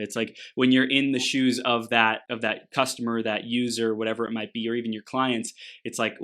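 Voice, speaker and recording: A man's voice: lowish voice, fairly bright tone. A man hosting an interview podcast, enthusiastic and affirming as he asks his guest questions. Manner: enthusiastic, affirming, frequent backchannels. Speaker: podcast host.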